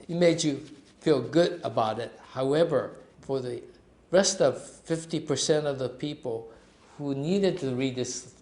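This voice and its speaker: A man in his seventies speaks earnestly, pressing his points with emphasis. His voice is middle-pitched and carries a clear room echo around it. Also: a little mellow. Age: 70s. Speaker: interviewee. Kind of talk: documentary interview. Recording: echoey room.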